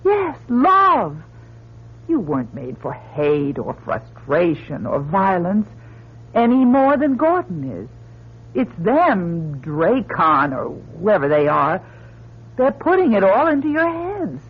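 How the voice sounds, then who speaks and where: A woman's voice: mid-range voice, big pitch swings, slow, slightly rough, full character voice. An actress playing a spirited female astronaut, pleading yet firm, in emotive radio drama style. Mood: urgent pleading. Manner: emotive, urgent. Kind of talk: melodramatic radio drama acting. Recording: muffled, lo-fi old radio recording.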